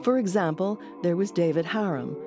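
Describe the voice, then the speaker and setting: A woman's voice: on the low side, moderate pace, music in the background. A woman hosting and narrating a documentary from a script.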